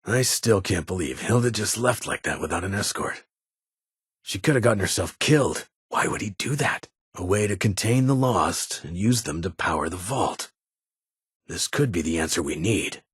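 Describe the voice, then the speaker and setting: An actor playing a weary, formal middle-aged military security chief in a video game, speaking in a restrained, dutiful way. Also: male voice, low-pitched, animated, normal speed, husky, no echo, clean studio sound, heavily acted. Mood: concerned, firm. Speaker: vault chief of security, former army science advisor. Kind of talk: video game character dialogue.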